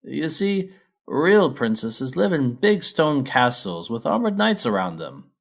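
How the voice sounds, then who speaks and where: A man voicing a wise, helpful old male pony from a storybook, with measured, drawn-out emphasis. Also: mid-range voice, very animated, vocal fry, full character voice. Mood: encouraging. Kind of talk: storybook character voice.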